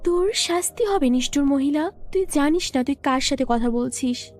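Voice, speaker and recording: Young princess, played by a woman: righteous, imperious, high and dramatic. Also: expressive, moderate pace, faint music behind. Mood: angry, commanding. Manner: indignant, commanding. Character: proud angry young princess, defender of orphans.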